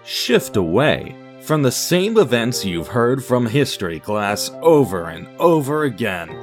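A man's voice: mid-range voice, big pitch swings, unhurried. A man reading scripted material as a radio theatre narrator and announcer, with guitar music behind him. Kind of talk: scripted dramatic story narration.

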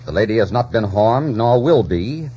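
An actor playing a bold, romantic pirate captain, commanding and theatrical, in 1940s radio drama style. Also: male voice, lowish voice, expressive, moderate pace, mellow, slight background noise, close to an everyday voice. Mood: cool, commanding. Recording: dull 1940s radio recording.

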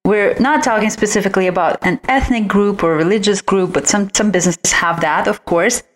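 A woman's voice: medium pitch, brisk. A woman speaking as an expert guest in an interview, explaining advice in a conversational way. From originally from outside the United States. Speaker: guest, visual branding expert.

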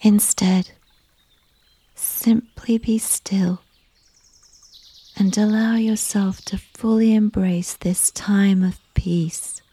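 A woman softly narrating a guided sleep visualization in a breathy, often near-whispered voice with a gentle, unhurried, soothing delivery. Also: medium pitch, steady tone, no room sound. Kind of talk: slow scripted sleep visualization, soft and whispery.

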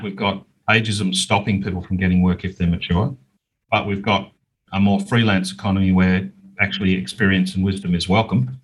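A man's voice: deep voice, husky, poor audio. An Australian man, middle-aged or older, speaking as an outspoken, emphatic guest in a conversational interview. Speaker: interview guest, business owner and author.

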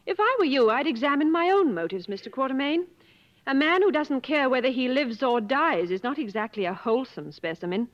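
Proud, high-voiced wealthy woman. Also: expressive, brisk, long reverb tail. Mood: indignant. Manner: crisp, challenging, formal. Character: proud, strong-willed, wealthy woman on safari. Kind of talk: 1950s radio drama acting. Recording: muffled old radio broadcast.

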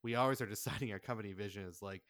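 Low-voiced man in casual interview. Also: racing, a bit bright, dry recording, studio quality. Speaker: podcast guest, audio drama creator.

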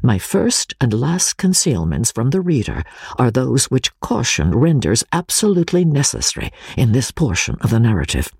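Male narrator for classic fiction: dramatic, expressive pitch, markedly breathy and gravelly. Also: normal speed, no echo, studio quality. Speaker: audiobook narrator. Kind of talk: dramatic reading of a classic novel.

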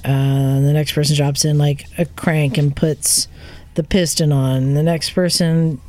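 An enthusiastic woman hosting a podcast, telling a story casually. She recounts what she saw with open excitement and a conversational flow. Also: low voice, a little slow. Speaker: podcast host recounting a trip.

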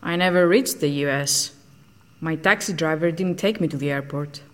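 Blunt, low-voiced woman scientist. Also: normal speed, fairly bright tone. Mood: dry, frank. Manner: blunt, matter-of-fact. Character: frank, socially outcast woman scientist who detects lies. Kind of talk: audio drama acting.

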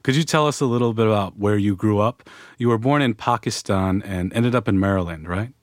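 Low-voiced male interviewer, dry sound. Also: a little fast, no echo. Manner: brief, attentive prompts. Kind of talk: interview questions.

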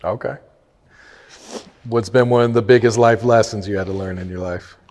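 A man's voice: fairly low pitch, fairly even intonation, normal speed, a little mellow. A man asking questions as an interviewer in a casual, conversational way. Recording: long room reverb.

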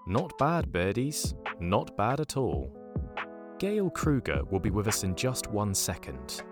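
Audio comedy: sing-song pet store greeter, slightly low, wildly animated, over music. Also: male voice, slow pace, heavily acted. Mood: upbeat. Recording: clear music under the voice.

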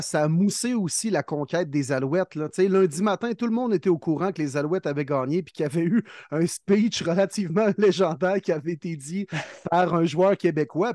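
A man with a Quebec French accent co-hosting a sports podcast, talking in an excited casual way and laughing often. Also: on the high side, no echo, studio quality. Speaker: podcast co-host. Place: podcast studio.

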